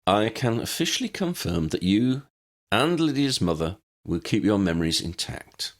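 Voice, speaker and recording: A man reads the part of a grown wizard lawman in a storybook, low-voiced and friendly. He is plain, reassuring and dry, with very mobile intonation. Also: sing-song, moderate pace.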